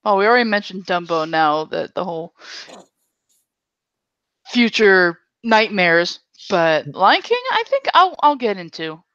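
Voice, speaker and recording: A woman's voice: mid-range voice, big pitch swings, unhurried, fairly bright tone, no room sound. A young woman chatting casually as a podcast guest, giving her opinions bluntly and emphatically. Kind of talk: casual chat. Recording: dull, lo-fi, close dry audio.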